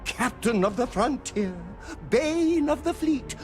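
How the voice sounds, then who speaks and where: A woman's voice: high-pitched, lively intonation. An actress playing an obsessive, theatrically adoring female superfan of a space hero in a video game cutscene. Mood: ecstatic awe. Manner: theatrical, breathless adoration, swooping from shouts to drawn-out awe. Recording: music behind the voice.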